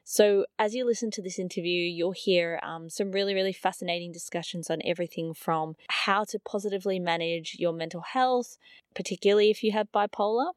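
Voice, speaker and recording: A woman hosting a podcast, introducing an episode in an earnest, chatty way with many fillers, her voice a little raspy and nasal. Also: lowish voice, fairly quick, no echo, clean studio sound. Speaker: podcast host.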